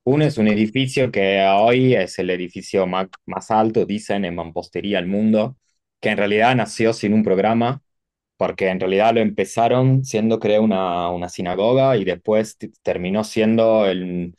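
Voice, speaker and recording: A man's voice: fairly low pitch, normal speed, dry recording, clean studio sound. A man from Turin, Italy, whose Spanish has an Italian tinge, chatting casually as a guest with many fillers and self-corrections. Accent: Italian-tinged Rioplatense Spanish. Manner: relaxed, rambling, frequent fillers and self-corrections. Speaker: architect guest. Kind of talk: casual conversational interview.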